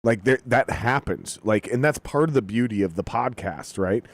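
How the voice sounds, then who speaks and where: A man's voice: mid-range voice, moderate pace. A man hosting a podcast, talking loosely and profanely, with jokes at his own expense. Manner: profane, animated, self-deprecating jokes. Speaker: podcast host. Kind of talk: casual podcast chat.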